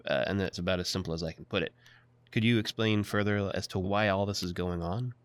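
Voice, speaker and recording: This is a young man with a low voice, heard dry and close to the mic. He interviews informally and fills the pauses with short listening cues. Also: fairly even intonation, clear, resonant tone, dry recording. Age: young adult. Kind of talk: casual interview.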